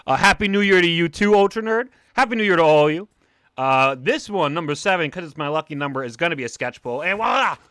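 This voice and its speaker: A young man with a New York accent, chatting excitably on a livestream while opening comic packs, with a cartoonish way of talking. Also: fairly high pitch, quick pace, dry recording. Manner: excitable, animated, talks like a cartoon character. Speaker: comic collector streamer. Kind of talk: casual livestream chat.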